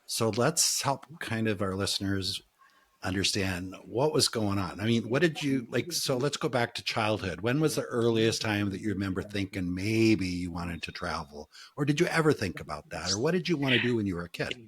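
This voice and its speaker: Husky-voiced male podcast host. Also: fairly low pitch, animated, normal speed. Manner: chatty, interjects, laughs easily. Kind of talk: conversational interview.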